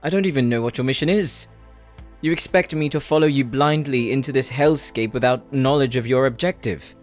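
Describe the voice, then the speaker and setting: A man's voice: medium pitch, a little fast, lightly acted. A man acting in an audio roleplay as a shrewd, devout prisoner who speaks in a formal, probing manner. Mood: wry, wary. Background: music.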